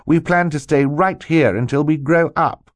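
Man voicing an earnest young girl, lifted pitch. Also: moderate pace, no room sound, close to an everyday voice. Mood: wary, firm. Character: protective, sensible older sister, young girl.

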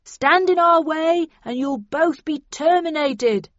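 A woman voicing a menacing militant attacker who calls loudly and slowly for surrender, as in an audiobook. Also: high voice, dry recording, dull audio, pitched above the performer's own voice. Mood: threatening. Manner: loud, drawn-out calling.

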